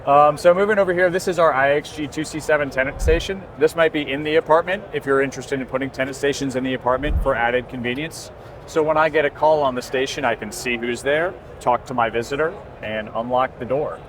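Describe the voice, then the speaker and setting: A man's voice: mid-range voice, fast talker. A man giving a conversational, explanatory product demonstration as a company presenter at a trade show. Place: busy indoor trade show booth. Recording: constant trade show floor noise. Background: exhibition hall ambience.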